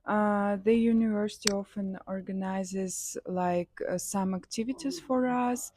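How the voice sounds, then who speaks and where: Slow hesitant young Kazakh woman. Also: medium pitch, steady tone, background noise. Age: young adult. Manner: hesitant, many fillers, simple non-native English. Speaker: interviewee, student. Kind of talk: street interview answers.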